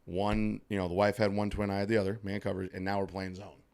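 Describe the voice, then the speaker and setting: Hoarse, gravelly man with a low voice. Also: flat delivery, fast, darkish tone. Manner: upbeat, joking, rambling with fillers. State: scratchy, hoarse voice from allergies. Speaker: podcast co-host. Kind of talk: casual podcast chat. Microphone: studio microphone.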